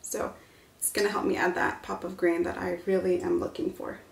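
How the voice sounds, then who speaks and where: A young woman filming a casual home decor haul vlog at home, talking to the camera in a relaxed, chatty, enthusiastic way. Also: on the low side, fairly quick, creaky, roomy sound. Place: living room at home, indoors.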